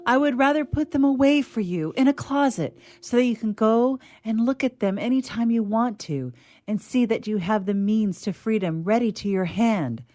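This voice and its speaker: A woman's voice: a little high, moderate pace, mellow, dry recording, studio quality, lightly acted. An actress playing a romantic, stubborn young woman in love, earnest and insistent, in a stage comedy. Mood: tender, earnest. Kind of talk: theatrical stage comedy acting.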